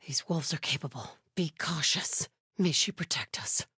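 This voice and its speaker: A devout, watchful female cult scout from a video game. She speaks low and very breathy, often near a whisper, with stern, guarded solemnity. The sound is close and dry. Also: lively intonation, moderate pace, bright, forceful tone, no echo, full character voice. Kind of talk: hushed video game stealth dialogue.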